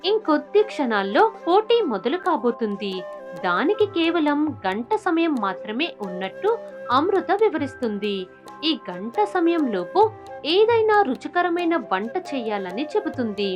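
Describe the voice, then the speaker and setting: High, lively woman storyteller. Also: moderate pace, faint music behind. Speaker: narrator. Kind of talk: scripted moral story narration.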